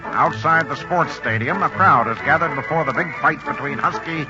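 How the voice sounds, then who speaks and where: Male announcer, 1940s radio drama narration, brisk and formal, slightly high voice, music behind. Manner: brisk, formal scene-setting. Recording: muffled 1940s radio broadcast. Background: loud orchestra music.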